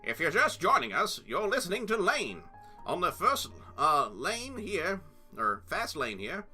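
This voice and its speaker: Man putting on a higher, bright-toned jokey radio DJ, breezy announcer at world's end. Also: huge pitch swings, a little slow, soft background music, full character voice.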